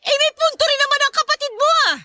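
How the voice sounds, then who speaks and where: Shrill, high-pitched nagging mother. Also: fast talker, sharp tone, no room sound, exaggerated voice. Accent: Filipino. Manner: scolding, demanding. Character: harsh nagging mother, bitter and belittling. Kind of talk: Tagalog radio drama acting.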